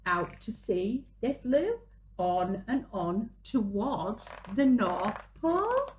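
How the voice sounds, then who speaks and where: A woman, reading a picture book aloud to young children in an animated, dramatic way. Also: on the high side, a little hiss or hum. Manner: animated, dramatic gasps and drawn-out exclamations. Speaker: children's storyteller, early years presenter. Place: small room at home, indoors.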